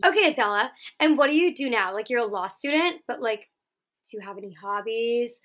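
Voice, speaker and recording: A woman's voice: on the high side, expressive, brisk, fairly bright tone. A woman in her twenties hosting a podcast, chatting casually and giggling often. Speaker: podcast host. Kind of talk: casual chat.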